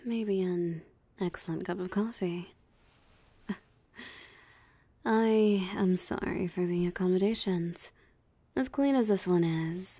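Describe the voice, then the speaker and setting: A woman voicing a possessive, teasing female vampire in an ASMR roleplay, with a breathy, half-whispered, sultry and taunting delivery. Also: mid-range voice, mellow, no room sound. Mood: sultry, predatory. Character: possessive, teasing female vampire savoring her captured prey. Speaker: voice actor.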